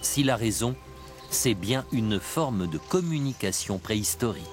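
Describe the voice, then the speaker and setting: Lively male documentary narrator over music. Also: lowish voice. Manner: measured, explanatory storytelling. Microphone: studio voice-over mic. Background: background music.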